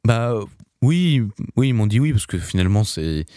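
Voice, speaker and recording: A man's voice: fairly low pitch, very animated, moderate pace, mellow. A young man, a singer, answering in a casual radio interview, hesitant with many restarts and fillers. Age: young adult.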